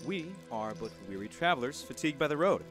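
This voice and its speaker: A man's voice: mid-range voice, animated, fast talker, natural voice. An actor playing a sly henchman in an audio drama, speaking in flowery, archaic, mock-courteous phrases. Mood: mock-polite menace. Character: sly, courtly male villain henchman, mock-polite traveling rogue. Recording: music behind the voice.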